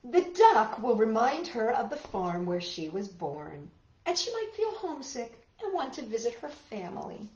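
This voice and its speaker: A woman's voice: a little high, sing-song, normal speed, a little mellow. A woman hosting a children's storytime, reading aloud with exclamations and chatty asides addressed directly to young listeners. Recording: roomy, dull lo-fi sound, faint music.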